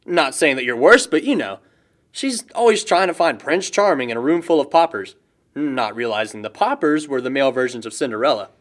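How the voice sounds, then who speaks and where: A man's voice: medium pitch, expressive, brisk, a bit bright, natural voice. An actor playing an earnest, slightly awkward young man in a film, opening up casually to his friend. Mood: thoughtful. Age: young adult. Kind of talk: naturalistic buddy dialogue.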